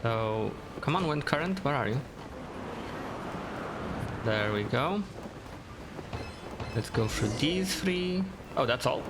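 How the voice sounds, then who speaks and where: A man's voice: mid-range voice, animated, takes it slowly, pauses often, background noise. A man giving casual, laid-back gameplay commentary, muttering to himself as he plays. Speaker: gamer. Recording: game music behind voice. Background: game music.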